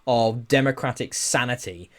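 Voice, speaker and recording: A man's voice: mid-range voice, normal speed, fairly bright tone. A man with a mid-range voice hosts a casual podcast. He talks in a loose, rambling style and readily pokes fun at himself. It sounds like informal chat about games and news. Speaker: podcast host.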